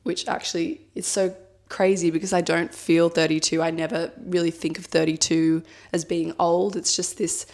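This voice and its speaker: A woman in her thirties speaks in a fairly low voice, a touch husky from a cold. She muses out loud to camera in a personal vlog, thoughtful and rambling, leaning on fillers like "like" and "I guess.". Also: level tone, moderate pace. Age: 30s. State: has a cold, slightly husky. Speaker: vlogger. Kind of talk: reflective personal vlog talk.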